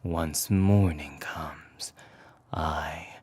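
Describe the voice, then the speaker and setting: Casting: male performer as possessive yandere suitor for ASMR roleplay, low breathy whisper, slow and politely ominous. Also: steady tone, a little mellow, no room sound, full character voice. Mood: softly menacing.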